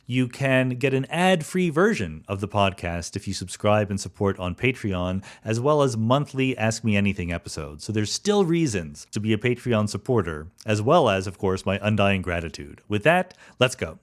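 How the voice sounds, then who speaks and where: A man's voice: on the low side, sing-song, normal speed, few pauses. A man hosting a podcast, talking in a conversational interview style. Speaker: podcast host.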